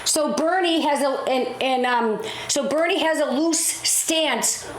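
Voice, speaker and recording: A hoarse woman hosting a call-in talk show, brash and teasing, pushing her caller for answers. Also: high-pitched, slow pace, roomy sound, low-quality recording. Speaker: host.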